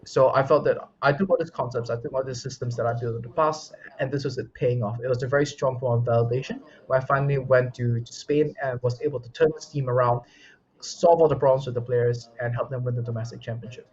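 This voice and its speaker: A man's voice: mid-range voice, steady tone, fast, breathy edge. Articulate male esports coach, muffled. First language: English. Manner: articulate, explanatory, frequent restarts. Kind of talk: interview answers.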